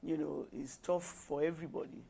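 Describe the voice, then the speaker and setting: A woman co-hosting a talk show, speaking in a measured way, often pausing on "you know". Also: sits low, fairly even intonation, creaky, dark tone, slightly rough. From Nigeria. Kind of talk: casual discussion on a talk show.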